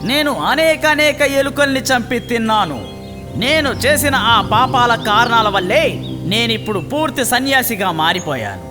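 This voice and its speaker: A man's voice: very high-pitched, animated, measured pace. A man voicing a sly cartoon cat who poses as a holy sage, grandly reassuring and falsely saintly. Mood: pious, solemn. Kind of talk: children's cartoon dialogue. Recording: long reverb, clear music behind.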